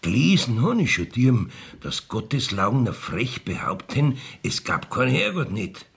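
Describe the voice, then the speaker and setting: An actor playing a shifty, blustering village judge in a radio play, speaking southern German dialect with a gravelly, husky voice. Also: male voice, mid-range voice, very animated, unhurried, fairly bright tone, exaggerated voice. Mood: pious bluster. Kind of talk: 1970s radio drama acting in dialect.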